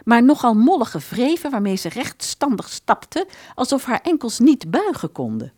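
A woman's voice: fairly high pitch, sing-song, moderate pace, a little mellow, dry recording, clean studio sound. A woman narrating a literary radio play in a scripted storytelling style. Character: literary female narrator of a musicians' tale.

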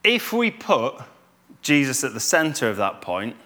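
A man, giving a calm, conversational church talk with fillers and restarts. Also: mid-range voice, a little slow. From Yorkshire, England. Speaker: church speaker.

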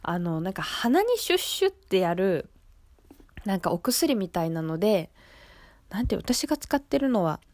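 A woman's voice: medium pitch, sing-song, moderate pace, a little mellow, dry recording. A young woman with a slightly stuffed-up, nasal voice, chatting politely and casually as the host of a radio show. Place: radio studio.

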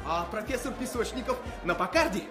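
High-pitched, nosy provincial man, echoey. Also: expressive, fairly quick, music in the background. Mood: eager curiosity. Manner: eager, pestering, inquisitive. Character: nosy, starry-eyed provincial male car enthusiast. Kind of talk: Soviet radio play acting.

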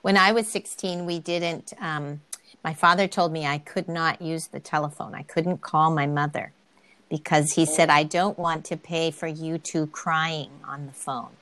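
A woman interviewing a student, speaking calmly in a conversational tone and sharing memories from her own youth. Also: low-pitched, a little slow. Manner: calm, conversational, telling a personal story. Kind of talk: casual conversation.

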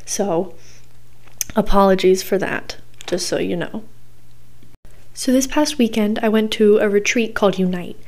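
A young woman hosts her own podcast in a voice gone hoarse and scratchy. She rambles in a loose, chatty way, filling every pause with ums and uhs. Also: mid-range voice, a little mellow. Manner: rambling, chatty, many fillers. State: hoarse, recovering from losing her voice. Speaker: podcast host. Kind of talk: casual solo podcast chat.

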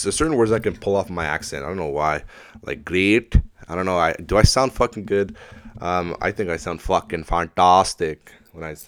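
A very deep-voiced man with a blocked, stuffy nose rants alone into a desk mic. He swears nearly every sentence and rambles from thought to thought in an excitable, casual way. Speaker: podcast host. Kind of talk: casual solo rant. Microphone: desk microphone at a laptop.

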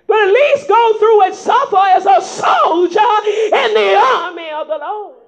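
A woman preaching a sermon in folksy vernacular, rising into shouts and prodding the congregation with repeated calls of "huh?" in a dull lo-fi recording. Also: very high voice, a little slow, creaky, a faint crowd. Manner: emphatic call-and-response preaching with frequent "huh?" prompts.

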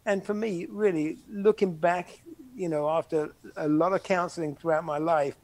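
Older guy, kinda high voice, lots of "you know", dry close sound. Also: steady tone, a little mellow, no echo. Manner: halting, many restarts and "you know". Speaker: interview guest, former soccer player. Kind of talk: reflective conversational interview.

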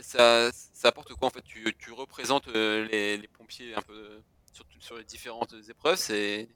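A man's voice: mid-range voice, little pitch movement, normal speed, pushed and bright, no echo. A man hosting a podcast interview, asking questions casually with loose, restarting phrasing.

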